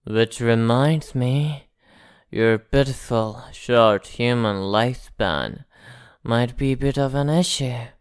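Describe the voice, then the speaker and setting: Female performer as an arrogant vampire, slow delivery, smooth clear tone, possessive, condescending, quietly menacing, dry close ASMR roleplay. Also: extremely low pitch, no room sound. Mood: possessive, gloating. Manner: haughty, teasing, softly threatening.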